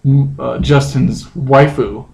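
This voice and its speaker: A man's voice: mid-range voice, steady tone, takes it slowly, vocal fry, darkish tone, roomy sound, low-quality recording. A man co-hosting a comedy podcast in casual, joking banter.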